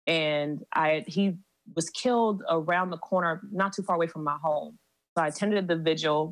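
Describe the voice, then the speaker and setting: A woman guest on a podcast, telling a personal story in a measured way with many pauses. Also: low-pitched, fairly bright tone, dry recording, poor audio. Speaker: seminary student. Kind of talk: recounting a personal story in conversation.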